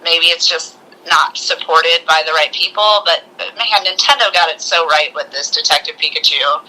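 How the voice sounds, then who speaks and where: A woman co-hosting a casual movie discussion, talking in an excitable way and acting out little quotes. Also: low-pitched, normal speed, poor audio. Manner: excitable, acts out little quotes as she talks. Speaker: co-host of a movie review show.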